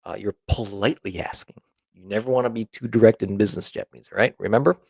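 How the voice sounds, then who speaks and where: A man's voice: lowish voice, quick pace, gravelly, darkish tone, breathy edge, no echo. A man teaching an informal language lesson, explaining example phrases conversationally and often checking in with the listener.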